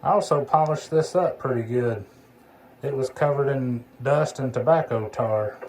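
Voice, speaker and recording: A man's voice: mid-range voice, unhurried, a little mellow. Man chatting casually over a noisy recording. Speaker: CB radio hobbyist demonstrating a repaired radio. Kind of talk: casual radio chat and demonstration. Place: indoor electronics workbench. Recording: clearly noisy workbench recording, background hiss.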